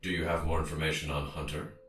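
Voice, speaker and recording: A man's voice: a bass voice, little pitch movement, quick pace, fairly bright tone. A man playing a curt, impatient scientist in an audio drama, formal and precise, in an echoey space with music behind him. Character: curt, impatient male scientist, dry and learned. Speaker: doctor of science. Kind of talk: audio fiction dialogue.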